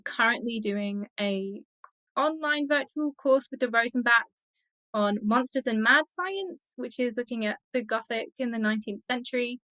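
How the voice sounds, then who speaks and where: A woman's voice: fairly high pitch, normal speed, sharp tone, no room sound, poor audio. A woman speaking as an interview guest, chatting casually and enthusiastically like an academic who loves the subject. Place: indoor office on a video call.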